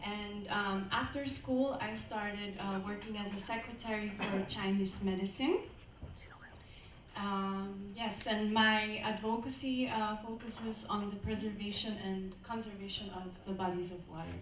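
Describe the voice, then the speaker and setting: A woman's voice: mid-range voice, fairly even intonation, background noise. Woman with a voice in an echoey hall. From Austria. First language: German. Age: 20s. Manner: halting, careful answers. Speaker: pageant contestant. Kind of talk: answering judges' questions. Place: hotel event hall. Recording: echoey hall, distant mic.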